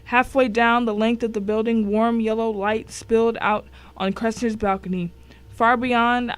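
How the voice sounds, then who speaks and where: A woman reading a scripted first-person thriller as narrator, telling it with drama and suspense. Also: a little high. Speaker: story narrator.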